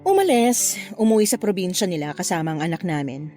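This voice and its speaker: A woman playing a flirtatious, unapologetic married mother in a Tagalog radio love drama, with a playful, expressive delivery. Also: fairly low pitch, a little fast, soft background music. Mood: flirty, playful. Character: flirtatious married mother having a secret affair, breezily unapologetic.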